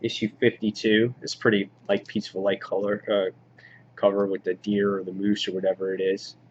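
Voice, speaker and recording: A man giving an informal, halting show-and-tell commentary as a hobby vlog host, in an understated way. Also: on the low side, little pitch movement. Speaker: vlog host showing a comic book collection. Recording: dull lo-fi audio, clear background noise.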